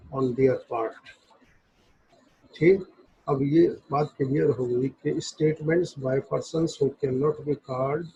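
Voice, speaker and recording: A muffled, lo-fi recording carries a man teaching law in a mellow, husky voice. He moves very slowly and methodically, breaking his explanation into short, separate phrases. He switches between Hindi and English as he reads and explains. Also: mid-range voice. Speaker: law lecturer. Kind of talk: explanatory lecture, reading and explaining legal text.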